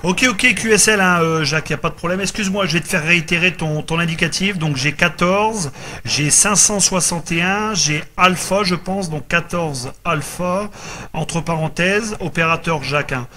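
A man's voice: on the high side, moderate pace, pushed and bright. A man from northern France chatting casually as an amateur radio operator, often repeating reassuring little phrases. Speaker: radio operator making contacts. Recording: noticeable background noise from radio set.

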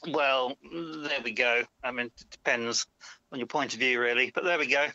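A man calling in to a chat show, telling easygoing stories with slow fillers over a dull call line. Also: medium pitch, steady tone, bright, forceful tone, no room sound. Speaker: caller. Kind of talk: casual chat.

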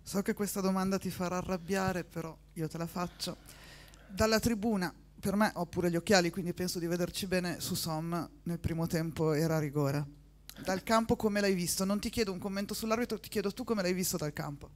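High-voiced reporter, direct. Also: male voice, brisk. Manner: direct and familiar, uses informal address. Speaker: journalist.

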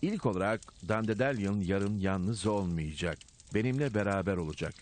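A man's voice: fairly low pitch, normal speed, slight background noise, lightly acted. A man voicing a caring, protective father rabbit in a children's cartoon, reasoning hesitantly. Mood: worried, reasoning. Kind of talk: dubbed children's cartoon acting.

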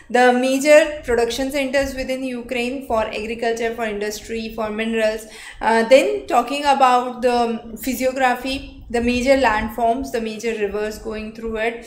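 Young Indian English woman, exam coach, higher pitch, live lecture, roomy echo. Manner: explanatory, frequent "uh" fillers, talks with hands. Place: studio, seated before a screen backdrop.

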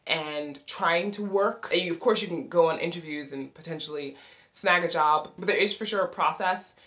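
A woman's voice: lowish voice, moderate pace, a bit bright. An American woman in her thirties talking to camera from a small bedroom, explaining things in an upbeat, chatty way. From United States. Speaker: vlogger and podcast host. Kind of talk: informative casual talk to camera. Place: small bedroom with sloped ceiling.

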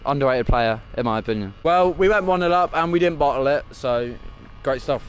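A man's voice: mid-range voice, expressive, a little fast. A teenage male with an English accent, hosting a casual football chat with fellow fans. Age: teens. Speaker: host of post-match fan chat. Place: outside a stadium by a brick wall. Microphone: handheld phone. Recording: faint outdoor background noise.

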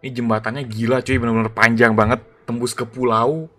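A man giving excited, slangy gameplay commentary as a gaming YouTuber. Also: mid-range voice, fast talker. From Indonesia. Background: background music.

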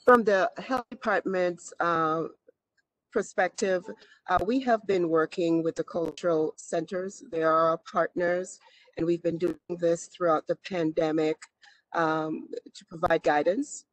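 A county health department representative speaking on a video call panel, measured, with frequent "uh" pauses. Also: mid-range voice, steady tone, no echo, rough recording.

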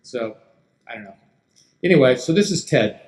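A man's voice: medium pitch, animated, moderate pace, darkish tone, slight background noise. Middle-aged man, keynote speaker, casual rambling delivery, podium mic, large hall. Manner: casual, rambling with many fillers. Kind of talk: conference keynote talk. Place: dark stage in a large hall. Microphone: podium microphone.

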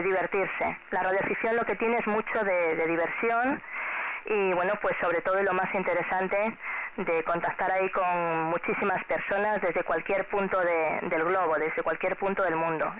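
A woman with an accent from Spain talking courteously and at length as an amateur radio operator, heard over shortwave radio. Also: medium pitch. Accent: Spanish from Spain. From Galicia, Spain. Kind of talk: ham radio contact, friendly chat with callsigns. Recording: noisy, dull shortwave radio reception.

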